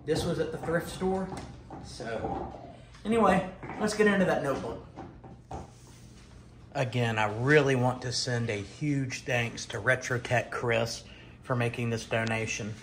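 A middle-aged man giving a casual, unscripted show-and-tell, halting and pausing mid-sentence. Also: mid-range voice, fairly bright tone, slight background noise. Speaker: retro computer vlogger. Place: dining room at home, indoors.

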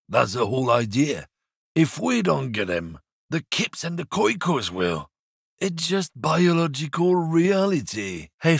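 A man voicing a surly, bigoted middle-aged lorry driver in a video game, gruff and combative, swearing often. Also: on the low side, dry recording, clean studio sound, heavily acted. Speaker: game character.

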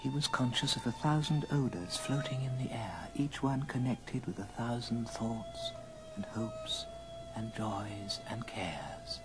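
A man narrating a story in an old radio drama, measured and theatrical. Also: mid-range voice, wildly swooping pitch, poor audio. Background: music.

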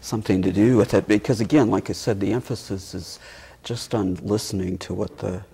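Low, gravelly middle-aged man. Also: fairly even intonation, dark tone. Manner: halting, measured. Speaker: theatre board member and director, guest. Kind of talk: explanatory interview talk. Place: small TV studio, seated at a table. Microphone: lapel mic.

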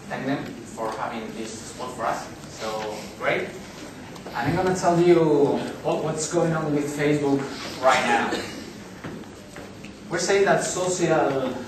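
A man in his thirties with a Spanish accent, giving a business presentation at a conference in English, pausing often with fillers and restarts. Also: mid-range voice, noisy background. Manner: halting, many fillers and restarts. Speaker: conference speaker. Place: large hotel conference hall. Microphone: far camera in the audience. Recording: echoey hall recorded from far off.

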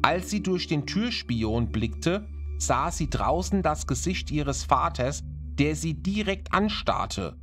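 A man narrating a scripted horror story in a measured, dramatic manner. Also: fairly high pitch, sing-song, a bit bright, soft background music.

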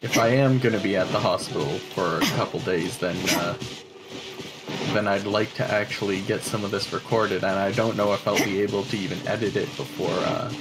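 A young man hosts a gaming video with informal commentary. His voice is a bit low and his phrasing is halting and casual. Prominent game music plays behind him. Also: rough recording. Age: young adult. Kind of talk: casual let's-play commentary.

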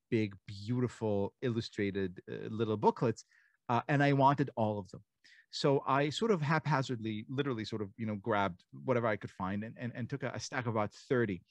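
A middle-aged man from Israel, speaking from a home study on a video call, telling stories thoughtfully with many restarts and lively quoted voices. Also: mid-range voice, no echo. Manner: reflective storyteller, many restarts and fillers, animated quoting. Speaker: author and guest speaker. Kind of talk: online lecture conversation. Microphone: desk mic on a video call.

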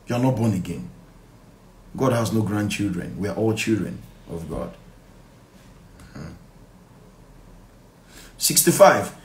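Low-voiced Nigerian pastor in an echoey room. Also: male voice, expressive. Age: middle-aged. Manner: emphatic, deliberate, repeats phrases and asks rhetorical questions. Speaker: pastor leading a Bible study. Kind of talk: live Bible study, reading verses aloud and explaining them. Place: small indoor room. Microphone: phone at arm's length. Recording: echoey room, faint background noise.

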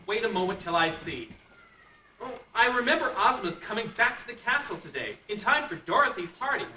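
A woman's voice: medium pitch, animated, a little fast, sharp tone. A woman playing a cheerful, easily muddled scarecrow in a children's puppet play, with an exclamatory, theatrical delivery. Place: puppet show stage in a convention room. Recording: echoey distant camcorder recording, music behind.

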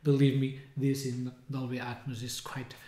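A man in his thirties giving an informal, hesitant software demonstration, often rephrasing as he goes. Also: mid-range voice, flat delivery, moderate pace. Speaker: software tutorial presenter. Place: indoors, small room with window blinds. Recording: roomy, echoey indoor sound.

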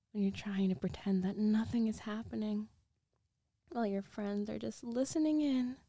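Slow, mellow teasing girlfriend played by a woman. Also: medium pitch, dry recording, studio quality, natural voice. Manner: teasing, giggly, slow, often dropping to a whisper. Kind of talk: intimate ASMR girlfriend roleplay.

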